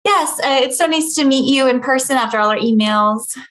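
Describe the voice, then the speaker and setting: A woman's voice: high voice, fairly quick, a bit bright. A woman playing a concerned, conciliatory office worker in her forties in a script read. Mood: nervous, polite. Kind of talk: screenplay table read.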